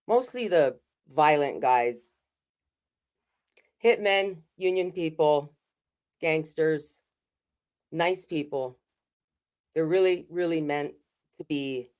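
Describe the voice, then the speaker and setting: Middle-aged woman from Hawaii, low-pitched, raw emphatic keynote speaker. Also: darkish tone. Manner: candid, conversational, emphatic. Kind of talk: raw personal keynote talk. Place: home studio. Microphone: video call.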